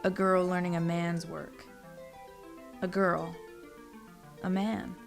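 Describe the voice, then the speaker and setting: Creaky, low-pitched woman narrating a story. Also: flat delivery, takes it slowly, soft background music.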